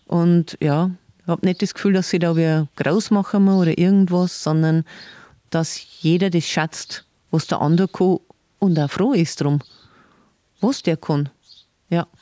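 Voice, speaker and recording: A woman's voice: fairly low pitch, steady tone, measured pace, no room sound. Chatty woman, dry close mic. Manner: warm, chatty, laughs often, repeats words. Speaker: city tour guide and guest. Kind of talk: casual podcast interview.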